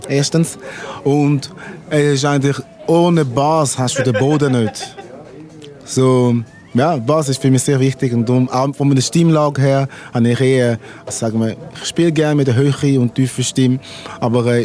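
Mellow-voiced man, native Lingala speaker. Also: mid-range voice. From Congo. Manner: unhurried, searching for words. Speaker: musician guest. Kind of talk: casual interview. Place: outdoor music festival.

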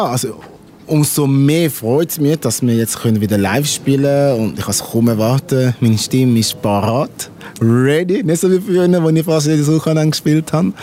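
A middle-aged man from Congo whose first language is Lingala, a musician talking in an unhurried, word-searching way in an interview at an outdoor festival. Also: mid-range voice, warm tone, a little hiss or hum. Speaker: musician guest. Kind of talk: casual interview.

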